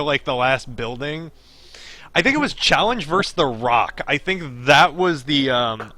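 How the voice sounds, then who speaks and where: A man's voice: a little high, bright, forceful tone, no echo. A man streaming a game, reading story text aloud and breaking off into chatty, digressive commentary. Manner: chatty, digressive asides while reading narration. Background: game music.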